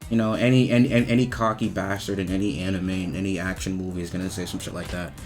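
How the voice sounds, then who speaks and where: A man giving casual, slangy, profane commentary as he rates video game voice lines, with an animated, emphatic delivery. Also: sits low, fast, faint music behind.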